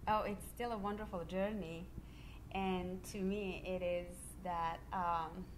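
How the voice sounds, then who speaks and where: A middle-aged woman from Serbia, a high school history teacher, speaking in a measured, earnest way with many pauses in a classroom interview on a handheld mic. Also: steady tone, slight background noise, rough recording. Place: classroom, indoors.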